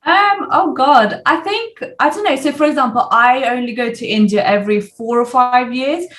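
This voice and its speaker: A young British woman in her twenties talks through her thoughts in a relaxed interview, full of ums and soft laughs. She sits a little back from the mic, so her voice sounds slightly distant. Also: on the high side. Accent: British English. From United Kingdom. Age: 20s. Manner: chatty, hesitant with many fillers, laughs often. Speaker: guest. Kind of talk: casual interview chat.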